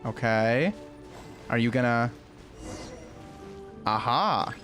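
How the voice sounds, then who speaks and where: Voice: mid-range voice, animated, drawn-out words, fairly bright tone. A game streamer giving casual, slangy playthrough reactions, gushing and often repeating phrases. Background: game music.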